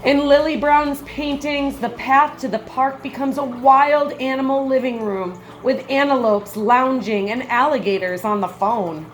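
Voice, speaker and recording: A woman reading a children's picture book aloud like a teacher, with measured, expressive phrasing and brief comments. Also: high-pitched, slight background noise.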